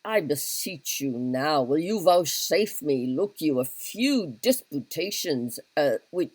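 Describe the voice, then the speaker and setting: A man's voice: on the high side, slightly rough, no room sound, poor audio. A man doing a fussy captain. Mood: earnest. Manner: fussy, wordy, repeats "look you". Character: pedantic talkative male Welsh captain. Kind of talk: solo dramatic reading of a stage play.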